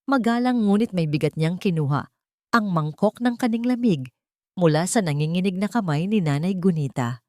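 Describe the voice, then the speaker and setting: A woman narrating a scripted dramatic story in measured, short phrases. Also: on the low side, lively intonation, dark tone, dry recording, clean studio sound.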